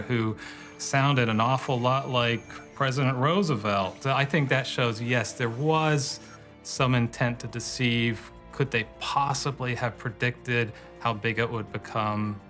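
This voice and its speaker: A man with a medium-pitched voice explains his view as a documentary commentator, with faint music in the background. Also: steady tone, unhurried, pushed and bright.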